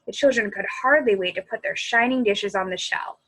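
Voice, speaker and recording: A woman reading a children's story aloud as a library storyteller, in an expressive, animated way. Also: mid-range voice, rough recording. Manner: animated, pausing to comment on the story.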